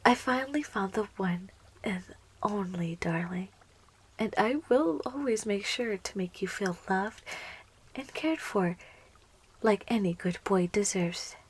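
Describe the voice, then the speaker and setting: Woman doing a clingy lovesick plant monster girl for asmr, super breathy whispers, slow n teasing, sweet but possessive. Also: mid-range voice, animated, rough recording. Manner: slow, coaxing, teasing, giggly, doting. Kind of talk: intimate ASMR roleplay, largely whispered. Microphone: close ASMR microphone.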